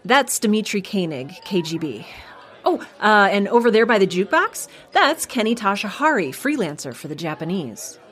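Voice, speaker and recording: An actress playing a cocky, teasing young trainee spy in an audio drama, with a playful manner. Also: female voice, medium pitch, big pitch swings, normal speed, a bit bright. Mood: amused, knowing.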